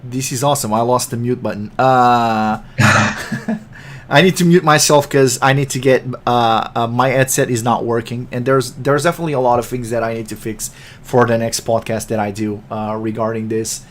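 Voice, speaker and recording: Raspy man, english, mid pitch, fast rambling host. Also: steady tone. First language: Portuguese. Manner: fast-talking, self-conscious, rambling. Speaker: podcast host and game developer. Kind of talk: casual interview chat. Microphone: computer microphone.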